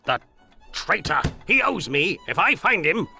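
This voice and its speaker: A scoffing, excitable Victorian newsman in a video game. His British voice is extremely sharp and forceful, gravelly and swinging widely in pitch, with colloquial turns of phrase. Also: unhurried, faint music behind, exaggerated voice. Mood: indignant. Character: cynical, excitable Victorian news agency man, grudgingly helpful. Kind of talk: scripted video game acting.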